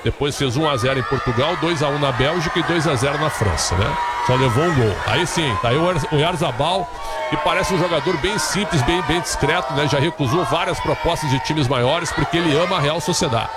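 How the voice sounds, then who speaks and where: A man's voice: a little high, fairly quick. A Brazilian man working as a sports broadcast commentator, giving live, unscripted running commentary with frequent tag questions. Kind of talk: live ad-libbed commentary on a team leaving its hotel. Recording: noisy urban crowd ambience. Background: loud crowd outdoors.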